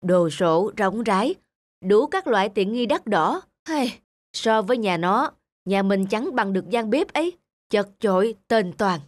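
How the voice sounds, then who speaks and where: A man's voice: sits high, lively intonation, a little slow, dry recording. A man voicing a discontented young husband in a cartoon, envious, grumbling and easily irritated. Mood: discouraged, envious. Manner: grumbling, complaining. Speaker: voice actor. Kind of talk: cartoon dialogue dub.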